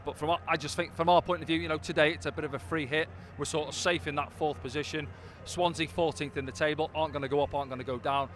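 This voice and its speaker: Welsh-born ex-player bloke talking footy quick pitchside, slightly high voice. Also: male voice, steady tone, a bit bright, slight background noise. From Wales. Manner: chatty, filler-heavy, upbeat. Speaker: pundit, former player. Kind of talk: casual pre-match punditry. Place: outdoors pitchside at a stadium.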